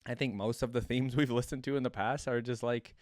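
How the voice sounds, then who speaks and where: A man hosting a casual reaction video, talking conversationally and thinking out loud, sometimes reading aloud. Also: medium pitch, steady tone, fairly quick, dry recording, studio quality.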